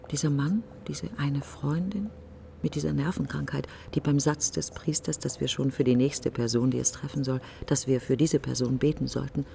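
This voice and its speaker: An actress playing a grieving, fearful woman in a literary radio drama monologue, speaking in halting, deliberate fragments with a breathy, often nearly whispered voice. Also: low voice, dark tone, a little hiss or hum. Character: grieving, fearful, brooding woman mourning her best friend.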